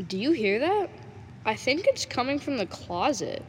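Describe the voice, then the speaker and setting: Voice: high voice, sing-song, normal speed. A performer playing a hesitant schoolboy sidekick in an amateur radio drama. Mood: alarmed. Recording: noisy, long reverberant hall sound.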